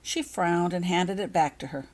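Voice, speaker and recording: A woman reading a story aloud as scripted audiobook narration, in an expressive way. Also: fairly low pitch, normal speed. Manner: expressive, acts out dialogue. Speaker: author reading her own fan fiction.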